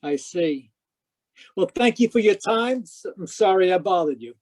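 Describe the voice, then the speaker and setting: An actor playing a hard-boiled private detective in old-time radio style, wry, clipped and matter-of-fact. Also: male voice, sits high, lively intonation, moderate pace, a little mellow. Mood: firm, dry. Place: home, live video call performance.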